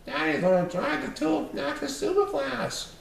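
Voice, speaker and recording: A man voicing excited little children in a storybook, shouting gleefully. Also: extremely high pitch, moderate pace, vocal fry, voice pushed up, exaggerated voice. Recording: roomy, distant mic, faint noise.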